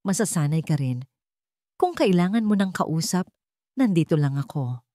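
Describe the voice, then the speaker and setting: Soft, gentle young woman voiced by a narrator. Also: on the low side, big pitch swings, normal speed, dry recording, studio quality. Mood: gentle, comforting.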